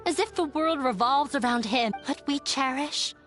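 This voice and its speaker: High-voiced wounded young woman. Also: moderate pace, fairly bright tone. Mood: resentful, resigned. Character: hurt, resentful young woman estranged from her stern father. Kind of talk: English video game voice acting. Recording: music behind the voice. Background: game music.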